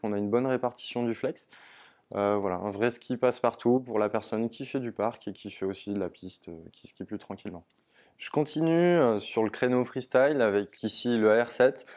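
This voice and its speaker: A young man, a ski sales advisor, presents a product range in a steady, informative way with frequent hesitation fillers. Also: mid-range voice. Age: young adult. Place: indoor shop studio in front of a ski wall.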